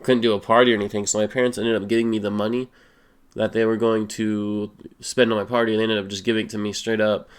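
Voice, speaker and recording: A young man with a stuffy, slightly sick voice, casually answering viewer questions as a gaming YouTuber, relaxed and full of fillers. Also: lowish voice, quick pace, smooth. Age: young adult. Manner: relaxed, rambling, many fillers. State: stuffy nose, a little sick. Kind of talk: casual Q and A voice-over.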